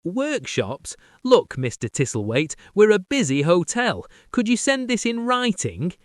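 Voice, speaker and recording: A man voicing a young woman hotel receptionist in a comic prank-call story, crisp and professional. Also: high-pitched, wildly swooping pitch, unhurried, dry recording, clean studio sound, pitched above the performer's own voice, exaggerated voice. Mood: irritated, sceptical. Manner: crisp customer-service politeness. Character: polite, efficient young woman hotel receptionist, increasingly sceptical.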